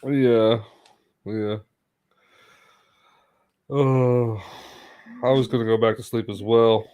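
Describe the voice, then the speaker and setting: A man chatting casually, halting and stumbling over words, often laughing. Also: mid-range voice, steady tone, clean tone, narrow, tinny audio. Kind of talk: casual chat.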